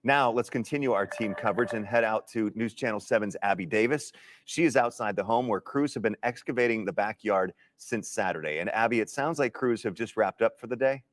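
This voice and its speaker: A man's voice: lowish voice, brisk, no room sound. An American man reading the news as a television anchor.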